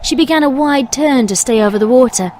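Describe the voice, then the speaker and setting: A woman's voice: high voice, a little fast, a little mellow, slight background noise. A woman reading scripted storybook narration as the narrator.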